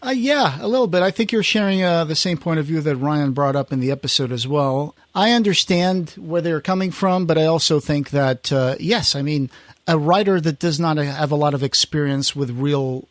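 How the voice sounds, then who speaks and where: Male podcast host, casual, reads out comments. Voice a bit high, recorded very dry. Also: moderate pace, no room sound. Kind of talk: casual podcast talk, reading out listener comments.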